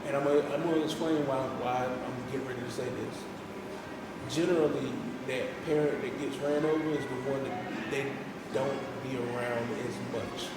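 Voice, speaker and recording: Deep-voiced man, echoey and distant. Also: steady tone, normal speed. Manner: emphatic, insistent, repeats himself when arguing a point. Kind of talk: casual discussion. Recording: echoey room, far from the mic, with loud background noise.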